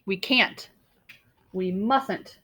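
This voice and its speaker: A woman voicing an excitable, mischievous little male storybook monster, theatrical and exclaiming. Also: mid-range voice, extremely slow, a little hiss or hum. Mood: tempted, sneaky. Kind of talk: animated children's picture-book read-aloud.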